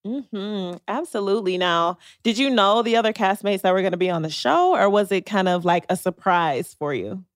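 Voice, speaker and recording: A woman's voice: medium pitch, normal speed, hardly any pauses, no room sound, studio quality. A woman co-hosting a podcast, asking questions in an upbeat, encouraging way. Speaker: podcast co-host. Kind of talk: casual interview chat.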